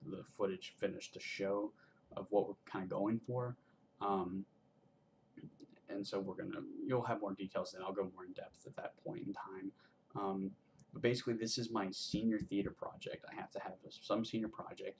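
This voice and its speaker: A man's voice: on the low side, slight background noise, rough recording. Young man rambling in a casual vlog. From Georgia, US. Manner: rambling, many ums and restarts, self-deprecating. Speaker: vlogger, college student filmmaker.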